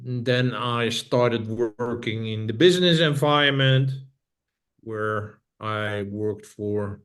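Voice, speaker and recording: A middle-aged Dutch-accented man being interviewed, speaking at a middling pitch. He works through his ideas carefully, often restarting a sentence and circling back to repeat a phrase. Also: clear, resonant tone. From Netherlands. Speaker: guest. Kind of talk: casual interview talk.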